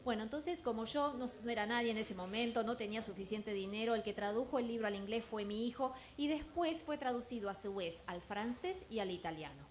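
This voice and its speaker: A woman interpreting speakers consecutively at a public event, in a fluent, measured way. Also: on the high side. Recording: echoey hall with noise.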